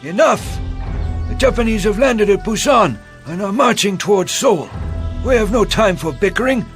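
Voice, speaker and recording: A man's voice: high voice, expressive, moderate pace, a bit bright. An actor playing an impatient, imperious king in a dramatic game cutscene. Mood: impatient, commanding. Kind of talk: dramatic scripted game acting. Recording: music behind the voice.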